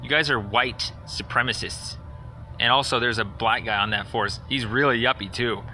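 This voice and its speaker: A man's voice: medium pitch, moderate pace, bright, forceful tone, a little hiss or hum, poor audio. A man leaving a rambling, accusatory phone message in a sarcastic, confrontational, indignant manner. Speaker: caller leaving a voicemail complaint.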